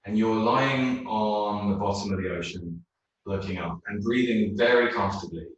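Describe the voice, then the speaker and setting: A man leading a guided meditation in a yoga class, speaking slowly and soothingly. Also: on the low side, highs cut off. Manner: slow, soothing, unhurried. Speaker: yoga teacher. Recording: very echoey room, mic far off.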